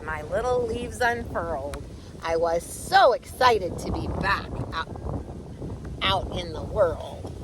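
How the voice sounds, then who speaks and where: Animated, high-voiced woman reading to children. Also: background noise. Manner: expressive, chatty, exclaiming. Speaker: storyteller reading a picture book. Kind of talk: animated read-aloud for young children with casual asides.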